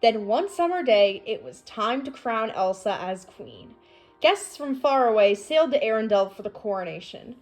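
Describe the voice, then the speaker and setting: A woman reads a children's storybook aloud in a high, very animated voice. Her delivery is expressive and scripted, made for young listeners. Music plays along with her. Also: moderate pace, poor audio. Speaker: storybook reader.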